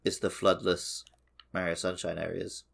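A man's voice: sits low, level tone, normal speed, creaky. A man co-hosting a gaming podcast, chatting casually in a loose, conversational way. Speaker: podcast co-host.

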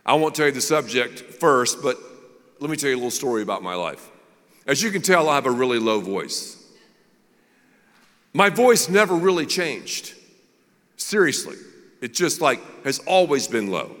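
A man's voice: medium pitch, very animated, moderate pace, long pauses, fairly bright tone, long reverb tail. A man who is a pastor, preaching a sermon in a candid, self-deprecating storytelling way.

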